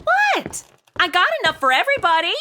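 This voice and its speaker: A woman's voice: extremely high pitch, wildly swooping pitch, a little fast, bright, forceful tone, heavily acted. An actress playing a brash, nosy, flippant young modern woman in an audio comedy, talking in casual, sassy slang. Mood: excited. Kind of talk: comedy audio drama acting.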